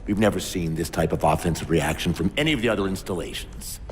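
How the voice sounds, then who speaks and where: Low male voice, gruff captain, acted by a man, brusque, commanding, reverberant, game cutscene. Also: fast talker, a little hiss or hum, close to an everyday voice. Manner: brusque, authoritative. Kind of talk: video game cutscene acting.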